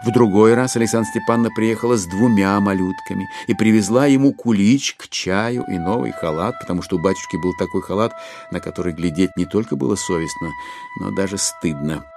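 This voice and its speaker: A man narrating a literary story in an expressive, theatrical storytelling manner. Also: fairly low pitch, a little fast, creaky, over music. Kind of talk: scripted dramatic literary narration.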